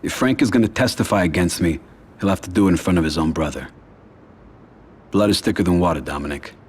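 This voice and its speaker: An actor playing a cold, controlled mafia don in a video game, grave and measured, with an American accent. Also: male voice, low-pitched, slightly rough. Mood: calm, commanding. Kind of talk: video game cutscene acting.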